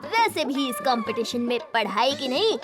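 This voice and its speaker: A woman's voice: sits high, sing-song. A woman voicing a cheeky, witty, overconfident schoolboy in a kids' cartoon. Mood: cocky. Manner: cheeky, boastful, slow teasing delivery. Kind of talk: Hindi kids' cartoon dubbing.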